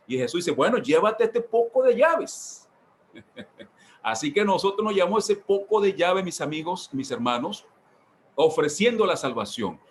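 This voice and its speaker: A man's voice: sits high, a little hiss or hum. A man teaching as a pastor in an informal sermon style, emphatic and given to repeating key phrases. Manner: repetitive, emphatic, with rhetorical repetition. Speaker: pastor leading a church workshop.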